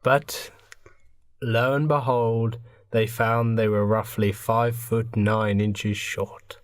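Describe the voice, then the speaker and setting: A man's voice: fairly low pitch, flat delivery, takes it slowly, no room sound, studio quality. A man narrating an improvised comic radio play in a storytelling style. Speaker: podcast narrator.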